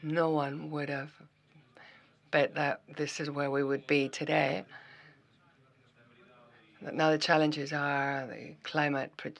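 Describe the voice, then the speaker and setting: A man wraps up a scientific conference talk, halting and restarting his words as he goes. His voice is slightly high and bright, with a gravelly texture. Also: level tone. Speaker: conference speaker. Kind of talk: wrapping up a talk.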